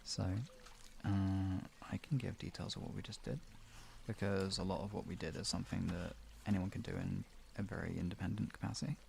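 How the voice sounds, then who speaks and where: Male relaxation guide for a livestream: gentle, fairly low, creaky voice, faint watery noise behind. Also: steady tone, a little fast. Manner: soothing, gentle, instructional. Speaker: streamer leading guided relaxation. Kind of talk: guided relaxation, chatting with stream viewers.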